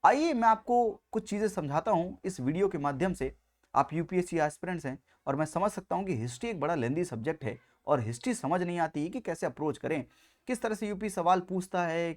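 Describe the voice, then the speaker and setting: Very animated male exam coach. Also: high-pitched. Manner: emphatic, repeats key points and asks rhetorical questions. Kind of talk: explanatory lecture to students.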